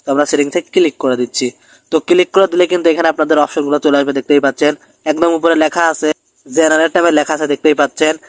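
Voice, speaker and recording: A man's voice: a little high, fairly even intonation, racing, dry recording. A man hosting a step-by-step tech tutorial, often checking in with his listeners as he narrates.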